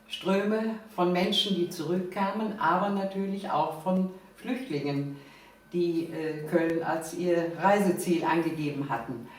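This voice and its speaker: A woman from Cologne hosts a talk show with slow, deliberate, emphatic speech. Her voice sits at a middling pitch. It rings heavily around the room, picked up at some distance from the microphone. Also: heavy reverb. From Cologne, Germany. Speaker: host of a local talk show. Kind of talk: spoken introduction and interview chat.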